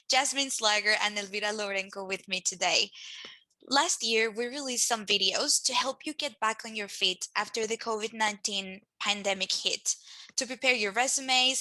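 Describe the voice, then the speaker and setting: An upbeat young woman from Mexico hosts a casual career chat. Her voice is bright and forward, recorded close with a dry, dead sound and no room around it. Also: mid-range voice, dry recording, low-quality recording. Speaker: host. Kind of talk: friendly panel chat.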